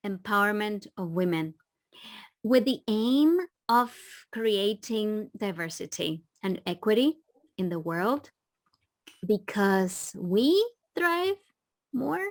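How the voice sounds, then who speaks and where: A woman in her forties from the Dominican Republic, a leadership coach speaking as a podcast guest with many halting restarts. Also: medium pitch, lively intonation, dry recording. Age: 40s. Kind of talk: casual interview talk.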